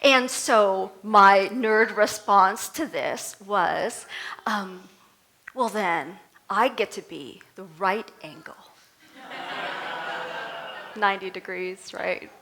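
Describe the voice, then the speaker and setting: A middle-aged woman from Vietnam, a native Vietnamese speaker, giving a conversational sermon with animated storytelling and self-deprecating humor. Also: mid-range voice, very slow, pauses often, a little hiss or hum. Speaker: reverend giving a talk. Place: temple service.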